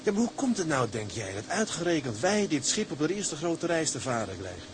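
An actor playing an earnest, restless young tugboat mate in a radio drama, with a husky voice. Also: male voice, fairly high pitch, very animated, fairly quick, background noise. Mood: wary, amazed.

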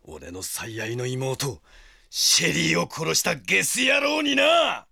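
A man's voice: fairly high pitch, very animated, slow, creaky, sharp tone, raised voice, full character voice. An actor playing a brash, impassioned French swordsman bent on avenging his sister in a Japanese audio drama. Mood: vengeful.

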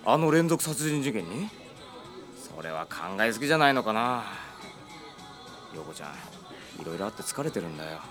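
A gentle, flattering young man. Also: on the low side, moderate pace, long pauses, over music. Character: friendly young man courting a woman.

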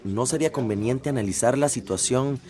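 Radio drama: mild, hesitant committee man, played by an actor, deferential, medium pitch. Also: brisk, a little mellow, lightly acted. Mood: tentative. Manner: tentative, deferential.

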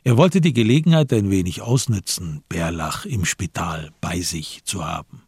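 A man reading literary narration for a radio play in a measured storytelling manner. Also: low voice, darkish tone, husky, no echo, clean studio sound.

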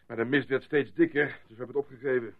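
A man's voice: mid-range voice, steady tone, fast talker, close to an everyday voice. Man acting a blunt village barman, phone-like sound. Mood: gruff, annoyed. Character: gruff, blunt village barman, easily irritated. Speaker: actor in a radio play. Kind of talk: radio play acting. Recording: muffled, phone-like lo-fi radio play.

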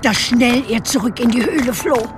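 An actress playing a storyteller who recites a rhymed legend in a measured storybook way for a radio play. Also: female voice, fairly high pitch, close to an everyday voice. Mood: measured storytelling. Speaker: narrator. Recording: clear music under the voice.

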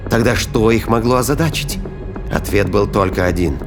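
A man giving scripted dramatic narration of a science fiction thriller in a deliberate, suspenseful manner. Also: lowish voice. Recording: music behind the voice.

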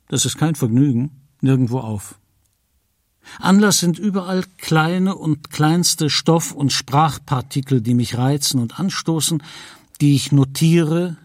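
A man playing a writer who recounts his life in a literary radio monologue, measured, dry and deliberate. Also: fairly high pitch, darkish tone. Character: deadpan male writer solemnly recounting his uneventful life.

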